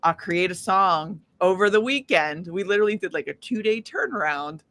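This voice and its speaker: A woman speaking casually as an interview guest, an independent musician who tells stories in an animated way and acts out quoted dialogue. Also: on the low side, moderate pace. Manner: animated storytelling, often acting out quoted dialogue.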